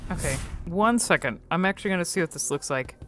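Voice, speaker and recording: A woman giving casual, musing commentary on a game, often pausing and repeating words as she thinks. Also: lowish voice, lively intonation, a bit bright, soft background music. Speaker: video creator commentating on a game.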